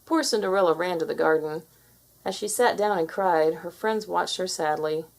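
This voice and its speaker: A woman's voice: lowish voice, moderate pace, gravelly. A woman narrating a children's fairy tale as a scripted read-aloud.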